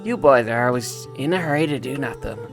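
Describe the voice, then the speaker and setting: A man's voice: mid-range voice, animated, a little fast, natural voice. A man voicing a skeptical, mildly scolding old grandmother, a cartoon animal in a storybook game. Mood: dry, chiding. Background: game music.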